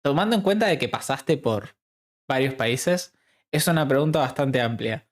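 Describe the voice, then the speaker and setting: A man with a Rioplatense Argentine accent hosts a relaxed interview stream. He speaks informally and at length, with a self-deprecating streak, in a mid-pitched voice. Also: normal speed. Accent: Rioplatense Argentine Spanish. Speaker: stream host interviewing a guest. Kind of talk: casual interview chat.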